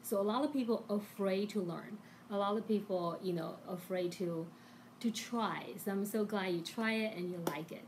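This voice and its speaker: A middle-aged woman with a Chinese accent, co-hosting a casual lesson stream in halting, encouraging English as a second language. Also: mid-range voice, noisy background. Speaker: co-host and language teacher. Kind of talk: casual live chat.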